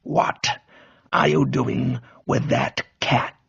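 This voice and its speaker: A man playing a furious, blustering, middle-aged country gentleman who splutters accusations in a radio comedy reading. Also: medium pitch, fairly even intonation, drawn-out words, creaky, husky, muffled recording, heavily acted. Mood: outraged.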